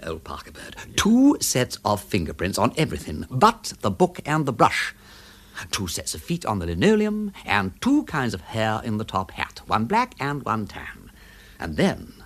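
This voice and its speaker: Radio drama lead: excitable aristocratic sleuth, upper-class English, witty, wildly varied intonation. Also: male voice, medium pitch. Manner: theatrical, playful, exclamatory. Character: aristocratic gentleman detective, excitable, witty, keen-eyed. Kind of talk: full-cast radio drama acting.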